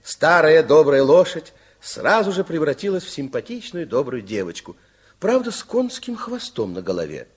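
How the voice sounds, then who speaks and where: An actor playing an expressive, kindly storyteller, narrating a children's fairytale radio play of the 1960s in a theatrical manner. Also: male voice, a little high, moderate pace, breathy edge.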